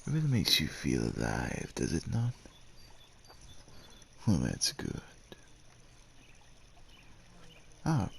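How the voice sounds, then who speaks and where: A man playing a devoted, gentle werewolf suitor in an ASMR roleplay, speaking with hesitant tenderness and courtly phrasing in a gravelly, husky voice. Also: a bass voice, a little hiss or hum, exaggerated voice. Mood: tender. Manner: hesitant, tender, old-fashioned courtly phrasing with tag questions. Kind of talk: ASMR roleplay, acted monologue addressed to the listener.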